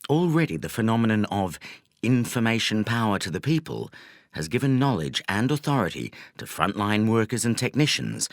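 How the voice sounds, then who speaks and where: A man reading a nonfiction audiobook as narrator, in a scripted style with measured, deliberate phrasing. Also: on the low side, dry recording, studio quality.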